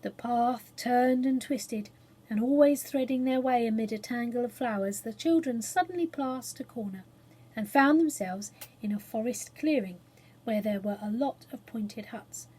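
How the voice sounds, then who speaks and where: A woman reading a children's story aloud as an expressive storyteller. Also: fairly high pitch, normal speed, breathy edge, poor audio.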